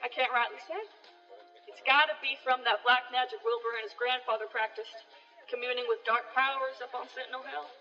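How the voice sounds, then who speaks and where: An uneasy country gossip acted by a woman speaks in a high, forceful voice, spinning ominous folksy tales for a radio play. The sound is muffled and rings in a long hall-like reverb. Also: steady tone, a little fast. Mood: uneasy, insistent. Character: gossipy, uneasy rural New England villager, a farm neighbor. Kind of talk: radio drama acting, storytelling. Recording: dull echoing hall with music behind.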